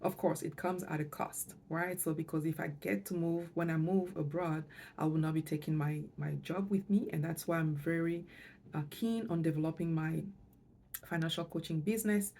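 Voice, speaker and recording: Casting: middle-aged female vlogger, mother tongue, deep warm voice, hesitant and reflective delivery. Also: steady tone, moderate pace, a little hiss or hum. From Canada, moved there with parents, lived in Montreal. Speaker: financial coach and vlogger. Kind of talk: personal vlog talk to camera.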